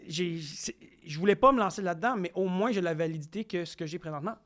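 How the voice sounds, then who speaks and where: A young man with a Quebec French accent, a comedian telling stories on a podcast. He acts out quotes and talks in quick bursts with restarts. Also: sits high, a bit bright. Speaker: comedian guest. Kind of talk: casual podcast interview storytelling.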